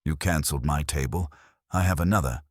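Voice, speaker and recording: A man's voice: very deep voice, a little fast, no echo, studio quality. A man voicing a smug, theatrical villain in an audio mystery, pompous and precise in a pleasantly menacing way.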